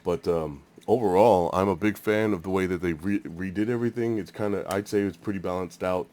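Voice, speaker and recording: A man's voice: low voice, a little fast, darkish tone. A congested young man hosting a casual pack-opening video, rambling with lots of fillers. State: congested, stuffy nose. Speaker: card-opening channel host.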